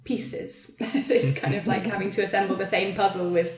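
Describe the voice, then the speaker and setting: A woman's voice: mid-range voice, fairly even intonation, fairly quick. A woman in a thoughtful, unscripted discussion, talking in a tentative, reflective way and hedging as she goes. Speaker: theatre practitioner in a panel conversation. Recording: roomy, echoey sound.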